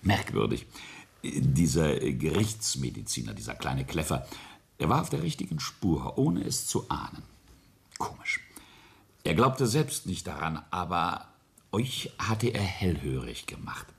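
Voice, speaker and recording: A sardonic family patriarch in a radio drama. His voice is very low and husky, swinging widely in pitch as he mocks and accuses, sometimes dropping toward a whisper. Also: very animated, exaggerated voice. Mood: mocking accusation. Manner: sardonic, deliberate, with whispered drops. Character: menacing, mocking family patriarch and ambitious industrialist. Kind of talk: German radio play acting.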